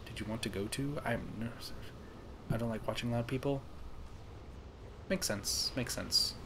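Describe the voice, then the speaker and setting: A young man streaming a game late at night, talking softly and calmly, almost whispering so as not to wake anyone. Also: medium pitch, a little slow, background noise. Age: young adult. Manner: soft, calm, near-whispering. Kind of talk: casual gaming stream chat. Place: room at home, late at night.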